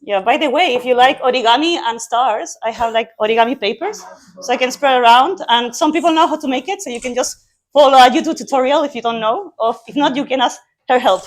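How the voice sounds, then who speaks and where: A woman's voice: sits high, fairly quick. A young woman with a Chilean accent, a native Spanish speaker, chattily introduces a speaker as host of an informal event.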